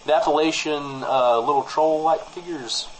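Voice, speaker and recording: A man hosting a comedy show, trading improvised mock news banter in a deadpan manner. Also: fairly high pitch, normal speed, a little hiss or hum, low-quality recording.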